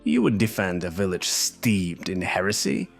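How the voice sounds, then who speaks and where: A deep, animated, scornful knight of a holy order, performed by a male narrator. He is forceful and accusing, full of zealous contempt, over a music bed in a dark fantasy tale. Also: moderate pace, vocal fry, heavily acted. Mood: scornful, angry.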